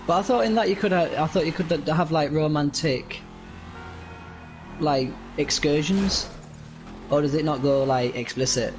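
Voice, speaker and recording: A British man co-streaming a game, talking in a relaxed way with stammering restarts. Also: a little high, normal speed, long pauses, soft background music. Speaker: co-streamer guest. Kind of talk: casual gaming stream chat.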